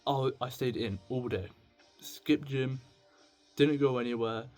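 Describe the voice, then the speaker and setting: A young British man talking casually to camera in a confessional vlog, rambling, halting and self-deprecating. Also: medium pitch, darkish tone, faint music behind. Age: young adult. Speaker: video blogger. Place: indoors at home with music on in the background.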